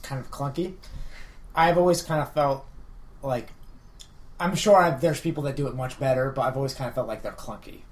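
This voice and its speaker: A man in casual discussion as a podcast co-host, giving measured, analytical opinions with careful qualifications. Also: mid-range voice.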